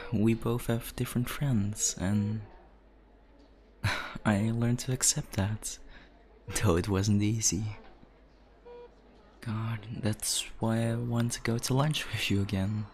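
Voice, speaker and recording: An actor playing a caring young adult brother comforting his sister in an ASMR roleplay, speaking gently and hesitantly with soft, breathy chuckles. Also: mid-range voice, slight background noise. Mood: wistful, sincere.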